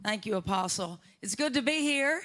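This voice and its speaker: Texan woman, guest preacher, bright tone, rousing, with many "uh" pauses. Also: on the high side, expressive, a little hiss or hum. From Texas, USA. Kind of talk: sermon.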